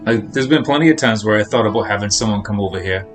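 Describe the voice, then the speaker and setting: A man's voice: on the low side, quick pace. Rambling male game streamer, music behind. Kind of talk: casual rambling stream chat while playing.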